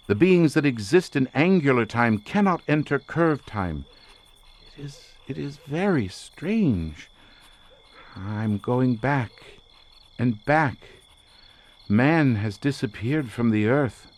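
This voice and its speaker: An actor playing an obsessed researcher lost in a drugged visionary trance, speaking theatrically and haltingly in an audio horror drama. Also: male voice, mid-range voice, darkish tone. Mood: eerie wonder. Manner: theatrical, incantatory, halting with repeated words.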